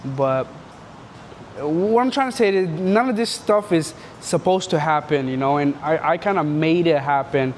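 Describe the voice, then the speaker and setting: Young man from Canada, loose and slangy storyteller, slightly high voice, faint background noise. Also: normal speed, a little mellow. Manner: rambling and slangy, full of filler words. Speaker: entrepreneur telling his story. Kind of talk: casual storytelling interview.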